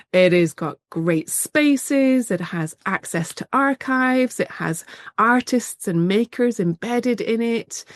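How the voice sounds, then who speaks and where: A woman's voice: lowish voice, darkish tone, no echo, studio quality. A woman with a slight cold and a nasal voice, giving an enthusiastic slide presentation as a museum director over a video call.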